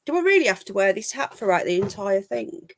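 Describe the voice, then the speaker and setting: A woman's voice: mid-range voice, very animated, fairly quick, a little hiss or hum. Chatty woman host with a cold. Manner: chatty, rambling, frank, swears. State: full of cold. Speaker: livestream call-in show host. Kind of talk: casual live stream chat. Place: home. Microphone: laptop.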